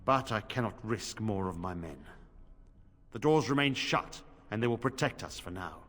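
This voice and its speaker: A man's voice: lowish voice, expressive, moderate pace, a bit bright. A man voicing a grave, beleaguered knight commander in a fantasy video game, speaking formally and solemnly. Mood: grim, defensive. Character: grave, beleaguered male templar commander, resolute and grim. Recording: reverberant game audio with music.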